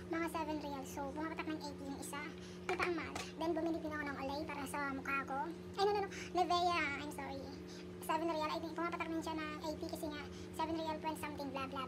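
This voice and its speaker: A woman's voice: high voice, heavy background noise. A young woman with a Filipino accent chats casually as a vlogger, mixing in English words, on an amateur phone video. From Philippines. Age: young adult. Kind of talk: casual chatty shopping haul vlog. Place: supermarket aisles, later a small room at home.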